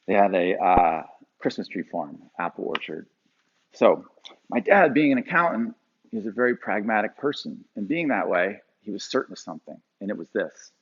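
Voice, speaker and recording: A man in his forties from Pennsylvania, telling a personal story to a live audience with animated delivery and frequent fillers. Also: on the low side, breathy edge, lo-fi sound. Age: 40s.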